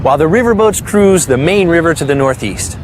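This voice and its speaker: A man hosting a travel show, giving lively, enthusiastic scripted narration. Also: a little high, brisk, slight background noise.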